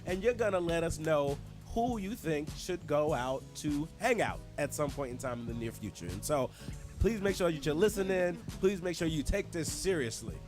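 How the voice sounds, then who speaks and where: A man co-hosts a chatty show with a playful, jokey delivery. His pitch moves around a lot as he banters and drops slangy phrases. Music plays clearly behind him. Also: moderate pace. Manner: jokey and animated, with slangy shout-outs. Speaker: co-host of a community talk show.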